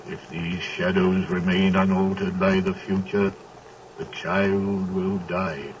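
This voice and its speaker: A man playing a solemn Christmas spirit in a 1930s radio play, speaking in grave, measured pronouncements. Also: sits low, flat delivery, recorded from a distance, noisy background. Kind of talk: 1930s radio drama acting. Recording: dull old radio broadcast from off-mic, with music.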